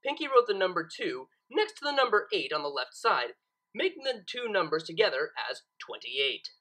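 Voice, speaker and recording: Bright, very animated woman narrating a story. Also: medium pitch, moderate pace, poor audio. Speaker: fan fiction reader and narrator. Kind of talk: expressive scripted story narration.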